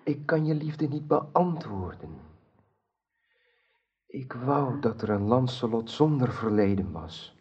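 An actor playing a troubled, honourable knight, grave, earnest and husky, in a radio drama. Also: male voice, mid-range voice, expressive, takes it slowly, a little mellow, heavily acted. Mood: troubled, sorrowful. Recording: dull lo-fi old radio recording.

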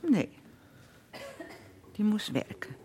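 A woman's voice: slow, dark tone, lightly acted. An actress playing a defensive, uncomprehending middle-aged mother who chatters, scolds and justifies herself, in a Dutch radio play. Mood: evasive, placating. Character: defensive, nagging, uncomprehending mother of a troubled son.

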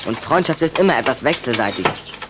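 A man's voice: medium pitch, animated, brisk, noisy background, lightly acted. A male actor playing an earnest schoolboy in a children's audio drama, precise and reproachful in his way of talking. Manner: matter-of-fact, reproachful, precise. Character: earnest schoolboy, the vampire's indignant human friend.

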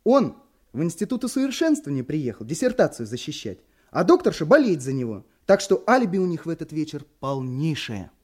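An actor playing a breezy, wisecracking police operative in a Russian radio drama, giving clipped reports with a jaunty, playful manner. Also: male voice, fairly high pitch, sing-song, brisk, darkish tone. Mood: brisk reporting.

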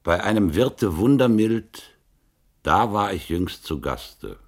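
Deep, very slow theatrical rhymester. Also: male voice, animated, faint music behind, heavily acted. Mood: wry. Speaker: radio drama actor. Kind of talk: slow theatrical verse recitation.

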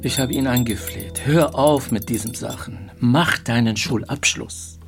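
An actor playing a sorrowful, resigned relative in a naturalistic radio drama, speaking gravely and reflectively. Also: male voice, mid-range voice, measured pace, music in the background, natural voice.

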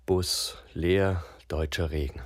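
Radio drama narrator, grim and terse, very low voice, dry and close. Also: male voice, fairly even intonation, slow pace, no echo. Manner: terse, matter-of-fact.

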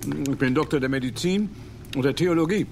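An actor playing a pompous, lecturing Jesuit scholar in an audio drama, a native English speaker who searches for words. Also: male voice, a little high, fairly quick, creaky, slight background noise.

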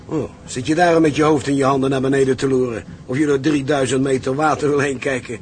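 A man acting a gruff, seasoned seaman in a Dutch radio drama, blunt and philosophical. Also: medium pitch, fairly even intonation, quick pace, a little hiss or hum, lightly acted. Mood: inquiring.

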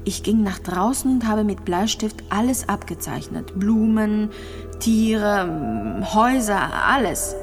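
An actress playing a gravely ill, lonely young woman in a radio drama, speaking haltingly as she recalls things and repeats herself. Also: medium pitch, animated. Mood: nostalgic. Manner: halting, searching, repeats phrases. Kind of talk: radio drama monologue, intimate recollection. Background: music.

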